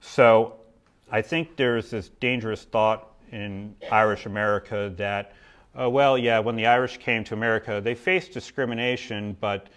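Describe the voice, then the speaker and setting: A man's voice: lowish voice, fairly even intonation, moderate pace, fairly bright tone. A man giving a history talk at a podium in a lecture hall, then taking audience questions, with a conversational manner and frequent restarts.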